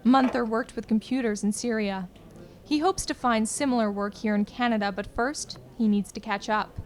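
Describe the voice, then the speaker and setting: A woman reporter giving scripted news narration in a measured, clear delivery as a voice-over. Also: a little high.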